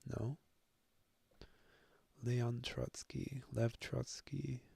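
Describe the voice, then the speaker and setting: A young man thinking aloud as he works through a language on his own, musing and joking at his own expense, halting often with fillers. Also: mid-range voice, flat delivery, creaky, warm tone, husky, dry recording. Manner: musing, self-deprecating, halting with many fillers. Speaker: language-learning video host. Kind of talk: thinking aloud while self-studying.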